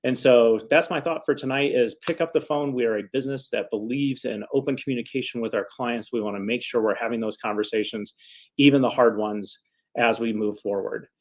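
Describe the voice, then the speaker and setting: A man's voice: mid-range voice, fairly even intonation, brisk. A man speaking as an attorney in a casual, conversational advice vlog, earnest and a little rambling in his phrasing. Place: office.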